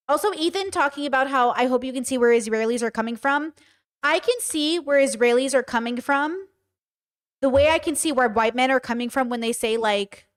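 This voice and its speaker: A woman in her thirties streaming live, talking candidly to her chat with frequent swearing and rhetorical questions. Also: high-pitched. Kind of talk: livestream commentary, reading chat.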